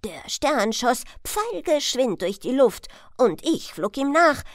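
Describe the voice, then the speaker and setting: Lady doing a wise old male stork for a storybook, solemn, slow, swingy pitch. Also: sing-song, no echo, clean studio sound, exaggerated voice. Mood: solemn wonder. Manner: interjects "äh", proclaims solemnly. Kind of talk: storybook character voice.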